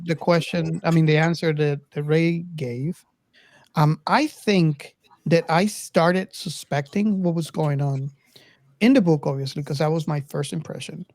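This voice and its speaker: A woman with a low, dark voice hosts a casual film discussion. She speaks haltingly, often repeating words, and the recording is dry and close. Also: dry recording. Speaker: podcast host.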